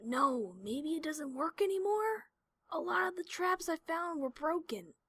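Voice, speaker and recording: A woman's voice: extremely high pitch, expressive, measured pace, fairly bright tone, no echo, exaggerated voice. A woman voicing a brash, stubborn female pegasus adventurer in an audio drama, with a cocky, defensive delivery. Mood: uneasy. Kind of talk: cartoon-like audio drama character voice.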